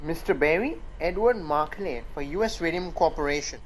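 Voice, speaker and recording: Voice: medium pitch, background noise, natural voice. Smug, sardonic corporate lawyer played by a student. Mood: condescending. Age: young adult. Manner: measured, sardonic. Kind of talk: student radio drama acting.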